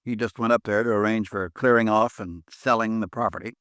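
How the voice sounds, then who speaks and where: An actor playing an easygoing, joking insurance branch manager in 1950s radio drama style. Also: male voice, on the low side, fairly even intonation, fairly quick, a bit bright, clean tone, dry recording, clean studio sound, natural voice. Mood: breezy. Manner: breezy and joking.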